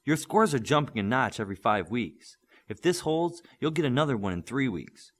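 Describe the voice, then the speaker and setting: A man's voice: medium pitch, expressive, moderate pace, pitched above the performer's own voice, natural voice. A man playing a patient, firm superhero mentor in an audiobook, coaching and instructing steadily. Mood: instructive.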